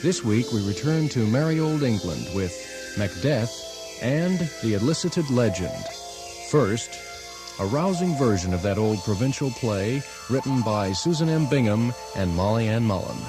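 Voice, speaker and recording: Medium-pitched male radio announcer. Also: expressive, moderate pace, music in the background. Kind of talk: scripted radio drama introduction.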